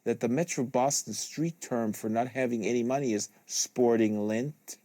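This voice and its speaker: A man reading literary prose aloud like an audiobook, with deliberate phrasing, close to a studio microphone. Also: medium pitch, warm tone, no room sound. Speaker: host reading a novel aloud. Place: small studio with acoustic foam panels.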